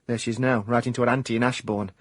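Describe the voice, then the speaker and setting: An actor playing a wry ex-miner private eye in a radio comedy, with a South Yorkshire accent and deadpan, mock hard-boiled delivery. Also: male voice, medium pitch, racing, no room sound, close to an everyday voice. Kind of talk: comic radio drama acting.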